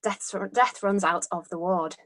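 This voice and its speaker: A woman's voice: on the low side, measured pace, a bit bright. A woman narrating the stage directions of a screenplay in a scripted read-through.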